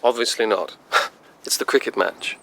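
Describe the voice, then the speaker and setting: An actor playing a curt, troubled young upper-class English gentleman in a period radio drama. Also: mid-range voice, moderate pace, vocal fry, slight background noise. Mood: clipped, guarded.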